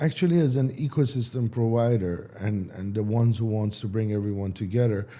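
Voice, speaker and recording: A man, a startup founder answering interview questions casually in halting, with many restarts. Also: fairly low pitch, warm tone, slight background noise. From Iran. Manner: halting, restarts, non-native phrasing.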